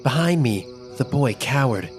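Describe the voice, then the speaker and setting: A man narrating a scripted first-person children's story in an expressive, dramatic storytelling manner. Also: medium pitch, unhurried, music in the background.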